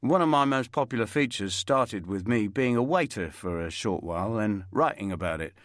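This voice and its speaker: A man's voice: on the low side, animated, moderate pace, no room sound, clean studio sound, lightly acted. A man voicing a cocky journalist with a raised, brash delivery. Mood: breezy.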